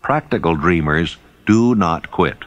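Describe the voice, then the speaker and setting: A man reading an audiobook as scripted narration, with deliberate, emphatic phrasing. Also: deep voice, expressive, a bit bright, no room sound.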